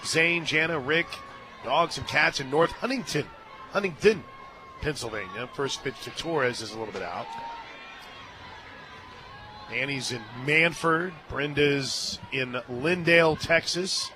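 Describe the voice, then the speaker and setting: High-pitched man calling softball on radio. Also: a little slow, a crowd in the background. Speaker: radio play-by-play announcer. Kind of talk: live softball commentary mixed with casual chat. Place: stadium radio booth.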